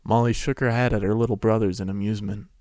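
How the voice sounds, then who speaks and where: A man's voice: on the low side, fairly even intonation, quick pace, darkish tone, no room sound, clean studio sound. A man narrating a fan fiction story as a scripted dramatic reading.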